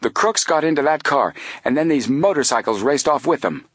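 Excitable male bank guard, voiced by a man, flustered, raised pitch, adventure tale. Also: fairly quick, husky, no echo, studio quality. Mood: agitated. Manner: highly excited, stammering.

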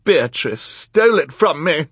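A man's voice: on the low side, big pitch swings, a little slow, breathy edge, dry recording, clean studio sound, pitched above the performer's own voice, heavily acted. A man voicing a vain, scheming woman villain in a storybook, dramatic, indignant and imperious. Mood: indignant, shrill. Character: vain, theatrical, scheming adult female villain.

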